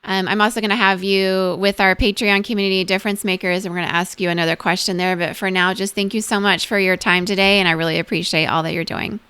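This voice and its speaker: A woman's voice: mid-range voice, fairly even intonation, fast talker, hardly any pauses, bright, forceful tone, smooth, no room sound. A woman from Venezuela hosting a podcast, talking to her guest and listeners. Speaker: podcast host. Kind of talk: interview and listener address.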